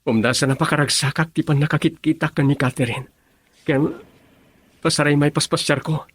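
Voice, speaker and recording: Earnest lovestruck youth, slightly husky. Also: male voice, on the high side, steady tone, fairly quick. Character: earnest lovestruck student grandson, young man. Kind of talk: Ilocano radio drama acting.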